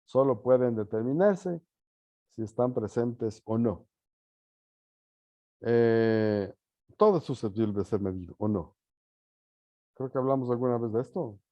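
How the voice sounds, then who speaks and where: A man's voice: mid-range voice, unhurried, pauses often, no room sound. Male teacher lecturing, slightly muffled audio. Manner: hesitant, checks understanding with short tag questions. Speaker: university teacher leading an online or live class. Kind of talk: informal lecture with questions to students.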